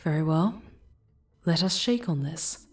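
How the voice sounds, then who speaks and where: A woman playing a proud, ancient demoness in an ASMR audio roleplay, with a soft breathy voice and formal, theatrical phrasing. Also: deep voice, expressive, a little slow, full character voice. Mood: formal, resolute. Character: proud ancient demoness, long imprisoned, yearning for freedom.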